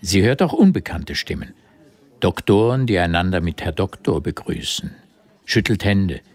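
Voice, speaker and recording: A man's voice: low voice, expressive, moderate pace, darkish tone. A man narrating a historical radio drama as its scripted literary storyteller. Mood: observant.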